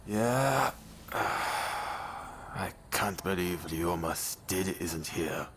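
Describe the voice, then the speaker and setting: An actor playing a stern, loyal, brooding samurai in a video game, speaking in a terse, measured and earnest way. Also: male voice, low voice, lively intonation, a bit bright, slight background noise, heavily acted. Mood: grave, earnest. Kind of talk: video game cutscene acting.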